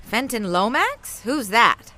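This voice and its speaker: A woman's voice: a little high, big pitch swings, slow pace, pushed and bright, full character voice. A woman playing a perky, curious young magician's assistant with a wry streak in a comic audio drama.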